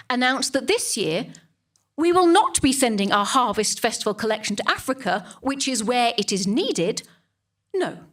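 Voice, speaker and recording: A woman's voice: on the high side, a bit bright, heavily acted. An actress playing a self-righteous, snobbish middle-aged English mother in a comic dramatic monologue, confiding and emphatic in manner.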